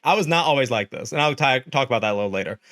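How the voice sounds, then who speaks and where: An animated young man with a nasal voice, talking casually and expressively with frequent laughs. His voice sits at an everyday middle pitch. The sound is dry and close, with no room echo. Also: very fast. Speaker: streamer host. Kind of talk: casual livestream chat.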